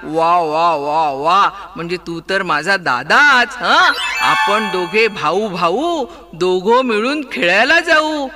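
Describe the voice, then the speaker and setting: A man's voice: sits high, lively intonation, slow pace, hardly any pauses, over music. A man doing a hearty, jolly pig. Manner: loud, hearty, cheerful. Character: jolly, friendly male pig.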